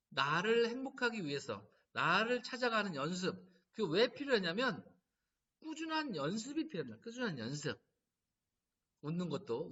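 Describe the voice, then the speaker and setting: A man's voice: high-pitched, breathy edge. A middle-aged man giving a laughter therapy lecture to caregivers, telling stories and acting out quotes. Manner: lively storytelling, animated quoting. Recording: distant mic, dull lo-fi sound.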